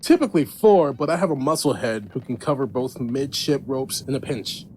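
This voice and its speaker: Man as blunt, crisp ship's first mate. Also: mid-range voice, lively intonation, moderate pace, a little mellow, a little hiss or hum, close to an everyday voice. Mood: matter-of-fact. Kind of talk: audio drama acting.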